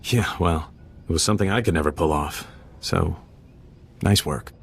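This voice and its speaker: Low, easygoing, earnest young-hearted male operative. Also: normal speed, creaky, soft background music. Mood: grateful. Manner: informal, earnest, hesitant. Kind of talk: video game voice acting, casual dialogue.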